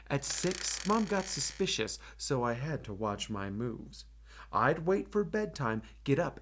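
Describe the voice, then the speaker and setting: A man's voice: medium pitch, noisy background. A man voicing a ten-year-old former superhero boy, wistful but determined, in a rhyming picture-book read-aloud. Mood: proud, matter-of-fact.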